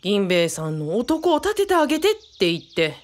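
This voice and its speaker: A woman's voice: medium pitch, wildly swooping pitch, brisk, a little hiss or hum. A woman playing a fussy, talkative male guru in a radio drama, polite and theatrical with a self-important manner. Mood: admiring. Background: birdsong.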